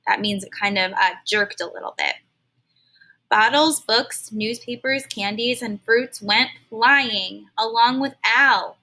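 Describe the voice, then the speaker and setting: A woman reads a children's storybook aloud in a fairly high, bright, clear voice. She reads expressively and pauses to explain harder words to young listeners in plain, simple language, the way a teacher would. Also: rough recording.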